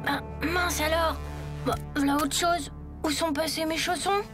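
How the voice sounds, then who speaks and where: Cartoon schoolboy, good-hearted hero, high pitch, easygoing delivery, clear music bed. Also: female voice, steady tone, unhurried. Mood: surprised, chatty. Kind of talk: French animated cartoon dubbing.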